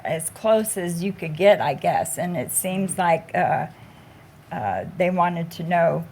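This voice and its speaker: A woman on a panel talking about her own cancer treatment, slow and halting, with many fillers. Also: on the low side, lively intonation, darkish tone, slight background noise. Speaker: patient panelist. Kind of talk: panel discussion, personal story.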